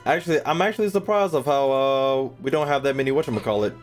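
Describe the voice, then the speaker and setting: A man's voice: mid-range voice, quick pace. Slangy male game streamer over faint music. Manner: slangy, joking, swearing. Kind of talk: casual live gameplay commentary.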